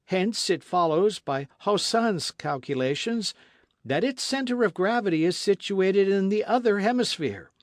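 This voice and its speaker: A man voicing a jaunty daring adventurer, high and lively. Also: a little slow, no room sound, clean studio sound. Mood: confident. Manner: jaunty, confident and playful. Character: bold, witty adult Frenchman adventurer. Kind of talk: audiobook character reading.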